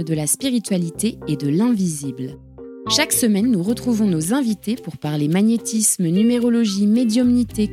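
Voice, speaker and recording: A woman hosting a podcast, reading a scripted introduction. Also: medium pitch. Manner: warm scripted reading, hesitant when asking. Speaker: podcast host. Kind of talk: scripted intro, then casual interview.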